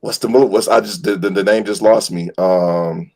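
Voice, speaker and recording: A man in an animated, casual fan discussion, repeating phrases for emphasis and stumbling over his words as he goes. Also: fairly low pitch, normal speed.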